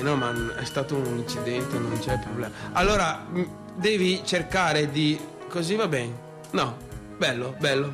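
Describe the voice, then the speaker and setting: Actor as volatile young northeastern Italian boss. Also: male voice, a little high, natural voice. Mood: fussing, placating. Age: young adult. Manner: colloquial, halting, repetitive, swearing. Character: young Italian small-factory boss, obsessive, volatile, aggrieved. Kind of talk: theatrical monologue for radio theatre.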